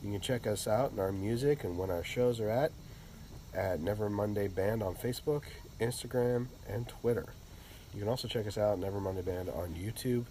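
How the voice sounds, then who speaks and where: A man with a stuffy, congested voice from a cold, hosting a casual podcast chat in a relaxed, rambling way. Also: fairly low pitch, moderate pace, slight background noise. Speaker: podcast host.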